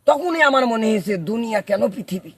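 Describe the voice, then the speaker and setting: A man's voice: sits high, lively intonation, brisk, husky, dry recording. A man tells a comic tall tale to listeners, dramatically, repeating phrases for suspense. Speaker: storyteller telling a tall tale. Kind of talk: comic yarn-spinning to listeners.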